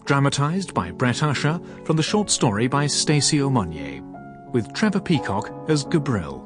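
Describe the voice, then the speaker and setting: A man's voice: medium pitch, sing-song, normal speed, music in the background. A man reading a scripted introduction to a radio drama as the announcer. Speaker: programme announcer.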